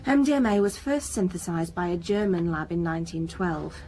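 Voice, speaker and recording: A woman's voice: fairly low pitch, animated, fairly quick, darkish tone, slight background noise, close to an everyday voice. A woman playing an earnest, defensive research scientist in a radio crime drama, precise and lecturing in manner. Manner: precise, lecturing, slightly superior.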